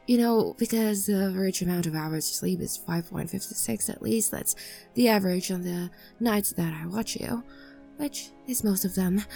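A woman's voice: a little high, creaky, dark tone. A young woman voicing a clingy, obsessively devoted maid in an audio roleplay, pleading and stammering.

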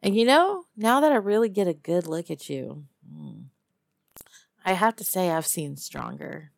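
Low, gravelly Southern lizard huntress, acted by a woman. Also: very animated, no room sound, clean studio sound, heavily acted. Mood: teasing, condescending. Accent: Southern US, Texas. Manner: drawling, sardonic, teasing, with musing pauses and hums. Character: tough, teasing female lizard-person huntress, guarded but lonely. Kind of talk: one-sided immersive audio roleplay to a captive listener.

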